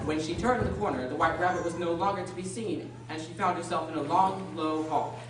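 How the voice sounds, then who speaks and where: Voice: medium pitch, steady tone, fairly quick, fairly bright tone, lightly acted. A performer playing a storybook narrator, reading a scripted radio drama aloud in a storytelling manner. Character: storybook narrator of a children's tale. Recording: very echoey, distant, noisy with clicks.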